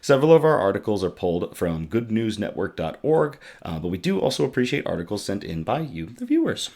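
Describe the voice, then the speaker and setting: A man with a raspy, worn-out voice casually hosting a good-news livestream from his home setup, chatting loosely with many ums and reading out viewer comments. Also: on the low side, huge pitch swings, brisk, a little mellow. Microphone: desktop USB microphone.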